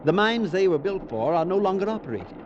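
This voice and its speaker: An actor playing a fussy, incredulous railway official, agitated and emphatic, in a mid-century radio drama. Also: male voice, sits high, big pitch swings, a crowd in the background. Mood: precise, fussy. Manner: fussy, agitated, emphatic, halting when upset. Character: flustered, incredulous male British railway official. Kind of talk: 1940s-50s radio drama acting. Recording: dull vintage radio recording, noisy background voices.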